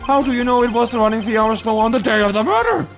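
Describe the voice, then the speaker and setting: Blustering villain witness for a game, raised pitch, indignant and taunting, performed by a man. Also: animated, fast, faint music behind. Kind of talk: comic amateur video game dramatic reading.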